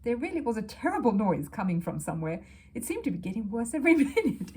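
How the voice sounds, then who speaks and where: A woman reading a children's story aloud as an expressive narrator. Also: on the high side, fast, a little hiss or hum.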